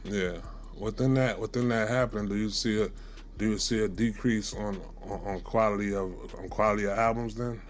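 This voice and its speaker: A man's voice: fairly low pitch, steady tone, a little fast, slight background noise. A man in his forties interviewing on a podcast, pressing his point insistently and repeating himself. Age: 40s.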